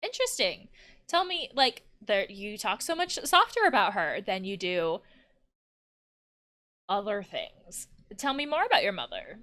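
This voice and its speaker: Animated woman commenting on a video game. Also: medium pitch, measured pace. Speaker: counselor playing and reacting to a video game. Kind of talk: let's-play commentary, reading dialogue aloud and reacting.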